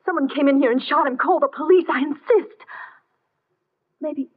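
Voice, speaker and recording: An actress playing an anxious, glamorous woman in distress in a 1950s radio drama, with a breathless, halting, melodramatic delivery. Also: high voice, natural voice. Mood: defensive, distressed. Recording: muffled lo-fi old radio broadcast.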